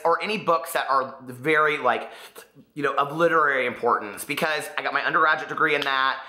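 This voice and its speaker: A man chatting casually to camera about his reading, his voice still partly gone and coming back. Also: a little high. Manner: chatty, rambling, expressive. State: voice partly lost, recovering. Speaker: book vlogger. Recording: echoey room.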